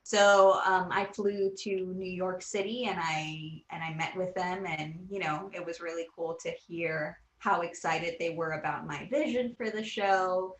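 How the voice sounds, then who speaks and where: A woman's voice: fairly low pitch, measured pace, hardly any pauses. Woman from Puerto Rico, interview guest, earnest, filler-heavy, roomy echo, video call. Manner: earnest, many fillers and restarts. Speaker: animation creator interviewee. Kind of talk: casual interview.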